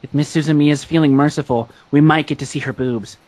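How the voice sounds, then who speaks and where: Fairly high, polite schoolboy played by a man. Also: fairly even intonation, fast talker, darkish tone, lightly acted. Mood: mock-solemn. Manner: mock-solemn, joking asides. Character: polite, composed teenage anime schoolboy explaining calamity. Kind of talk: anime fan dub outtakes.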